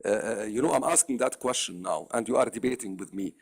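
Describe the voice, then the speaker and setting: A man with an Arabic accent and Arabic as his first language, calling into a live debate, talking haltingly with many fillers and repeated words. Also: on the low side, breathy edge. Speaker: caller.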